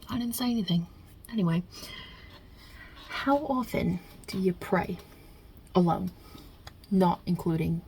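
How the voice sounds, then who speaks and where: A woman's voice: fairly low pitch, a little mellow, slight background noise. A woman playing a non-binary Italian mob boss God with a put-on New York Italian-American accent, wry and casual with muttered asides, in an ASMR roleplay.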